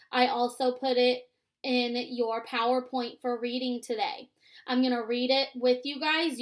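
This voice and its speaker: A woman teaching young children in a read-aloud lesson, giving simple instructions and checking in as she goes. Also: on the high side. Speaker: elementary school teacher.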